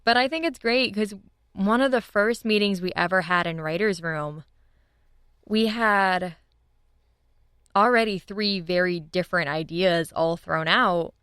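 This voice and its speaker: A woman's voice: mid-range voice, measured pace, a bit bright, clear, resonant tone, no echo, clean studio sound. A woman chatting casually and enthusiastically as an interview guest, informal and upbeat. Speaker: guest, audio drama writers' room leader.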